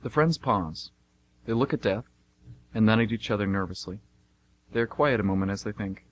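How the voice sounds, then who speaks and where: A man's voice: on the low side, normal speed, close to an everyday voice. A man playing a solemn narrator, reading a suspenseful scripted amateur radio drama.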